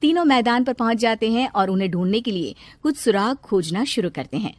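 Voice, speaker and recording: A woman's voice: fairly high pitch, expressive, a little fast. A woman narrating a scripted cartoon story as the storyteller. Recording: music behind the narration.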